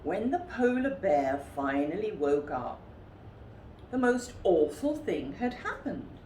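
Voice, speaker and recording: A woman reads a children's story with theatrical emphasis. Her voice is fairly low and very animated, paced unhurriedly, in a room with audible echo. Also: slow pace. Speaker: storytime reader. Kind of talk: expressive children's story reading. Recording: roomy echo with faint background noise.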